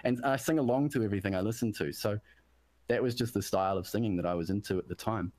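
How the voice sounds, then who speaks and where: A deep-voiced man, a musician guest from New Zealand, chatting casually about production and often restarting his sentences. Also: fast, darkish tone, no echo. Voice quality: deep bass voice. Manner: rambling, self-interrupting, searching for words.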